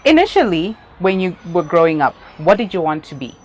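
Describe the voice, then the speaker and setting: A woman from Zimbabwe hosting a youth talk show, interviewing in a lively, encouraging way and laughing easily. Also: lowish voice, a little fast. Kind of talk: casual interview. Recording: faint background noise.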